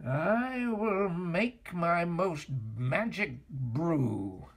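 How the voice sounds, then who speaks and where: Man acting feisty old witch, husky and drawn-out. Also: on the high side, lively intonation, full character voice. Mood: annoyed, determined. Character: old witch of the hill, feisty woman, scrappy and indignant.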